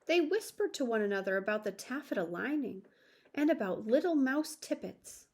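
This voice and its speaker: A woman's voice: mid-range voice, darkish tone. A woman reading a children's story aloud expressively, with asides to the listener.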